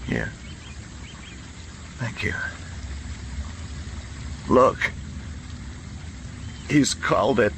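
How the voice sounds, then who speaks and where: An actor playing a grieving, tormented father in a radio drama, speaking in halting, repeated phrases. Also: mid-range voice, expressive, vocal fry, a bit bright, slight background noise, exaggerated voice. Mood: tender, overcome. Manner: halting, broken delivery, repeating himself. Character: grieving, tormented father, an American former prisoner of war.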